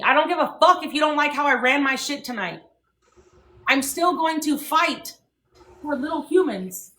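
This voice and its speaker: A young woman with a high, bright voice rants heatedly and swears freely. She hammers her points home on a livestream. The voice rings in an echoey room. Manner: profane, ranting, emphatic. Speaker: livestream host.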